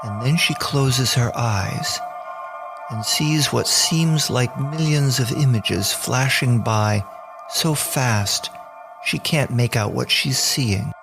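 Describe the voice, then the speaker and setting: A man's voice: medium pitch, slow pace, gravelly. A man narrating a scripted audio drama as the storyteller. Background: music bed.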